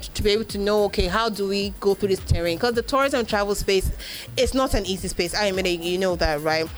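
A lively Nigerian woman whose first language is Igbo, answering interview questions with energy. She breaks into acted-out quotes and uses lots of "um" and "like". Her voice sits in a middle range, with faint music behind her. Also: medium pitch. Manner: animated storyteller, acts out quoted voices, frequent fillers. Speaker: podcast host being interviewed. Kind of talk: live panel interview.

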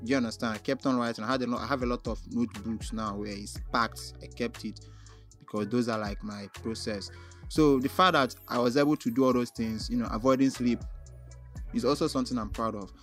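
A man with a Nigerian accent shaped by his native Igbo talks casually as a guest in an interview. He feels his way through answers haltingly, leaning on filler phrases. Faint music sits behind his voice. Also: medium pitch, a bit bright. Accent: Nigerian, Igbo-influenced. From Onitsha, southeastern Nigeria. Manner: halting, frequently repeats "like" and "you know" while thinking aloud.

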